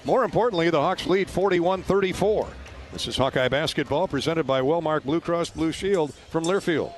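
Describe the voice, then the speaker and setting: A man's voice: fairly high pitch, normal speed, a little hiss or hum. An American man working as a radio announcer, giving live play-by-play commentary of a basketball game. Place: basketball arena.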